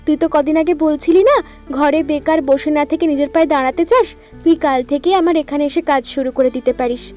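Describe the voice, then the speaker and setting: A woman voicing a kind village woman who runs a sewing business, speaking warmly and encouragingly in a cartoon. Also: high-pitched, fairly even intonation, fast talker, faint music behind, lightly acted. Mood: kindly. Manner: warm, encouraging. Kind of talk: cartoon voice acting.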